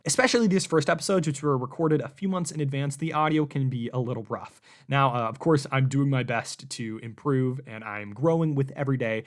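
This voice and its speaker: A young man hosts a casual podcast in an upbeat, chatty way. He pokes a little fun at himself between questions. His voice sits at an everyday middle pitch, and the recording has a bit of room echo. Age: young adult. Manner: upbeat, chatty, self-deprecating. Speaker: podcast host.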